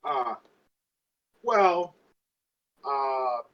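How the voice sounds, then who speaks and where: A woman's voice: extremely low pitch, lively intonation, very slow, a bit bright. A woman bantering sarcastically as a player in a tabletop role-playing game, on a lo-fi microphone. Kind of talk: casual banter.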